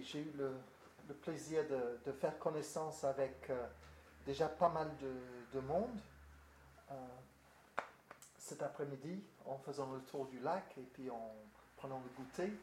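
A man's voice: medium pitch, a little mellow. An older man from Britain with a British accent, a pastor speaking casually to a group in French, hesitant with many fillers and restarts. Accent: British English. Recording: echoey room with faint noise.

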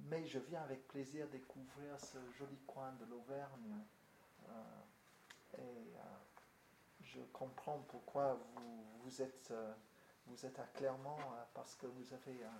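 Older British man, halting and echoey. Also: mid-range voice, fairly even intonation, creaky, a little mellow. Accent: British English. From Britain. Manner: hesitant, many fillers and restarts. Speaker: pastor. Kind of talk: casual address to a group. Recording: echoey room with faint noise.

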